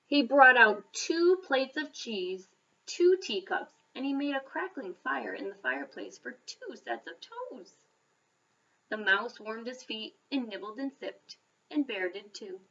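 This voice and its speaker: A woman reading a children's story aloud as a school reader, expressive, with dramatic emphasis and pauses. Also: fairly high pitch, distant mic, dull audio.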